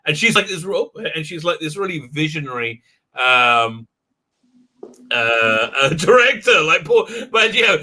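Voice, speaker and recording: Chatty bright-voiced man host. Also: on the high side, lively intonation, unhurried. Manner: rambling, full of fillers and restarts. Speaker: livestream host. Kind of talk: casual chat.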